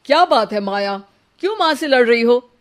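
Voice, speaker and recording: A woman's voice: on the high side, lively intonation, normal speed, lightly acted. A woman voicing an exasperated young husband in a cartoon, weary and pleading. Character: exasperated young husband caught between wife and mother.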